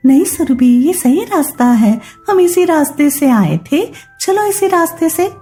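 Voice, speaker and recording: A woman's voice: sits high, very animated, unhurried, dark tone, faint music behind, pitched above the performer's own voice. A woman playing a patient, sensible, studious young woman in a children's cartoon story, mild and reasoning. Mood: reasonable.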